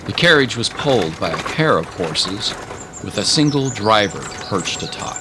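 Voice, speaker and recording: A man's voice: fairly low pitch, very animated, moderate pace, noisy background. A man narrating a scripted adventure story in a dramatic style. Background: music.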